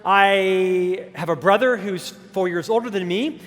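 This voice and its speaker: A man's voice: high-pitched, unhurried, a bit bright. A man in his fifties with a stutter, telling his life story at a motivational conference with self-deprecating humor. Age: 50s. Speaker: keynote speaker. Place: large conference hall stage. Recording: long reverb of a conference hall.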